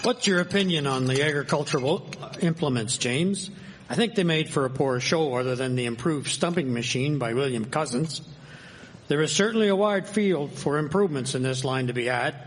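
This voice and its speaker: A man's voice: a little high, moderate pace, lightly acted, rough recording. A man playing an earnest, civic-minded nineteenth-century newspaper editor in a historical radio drama, speaking in formal, old-fashioned phrases. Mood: opinionated.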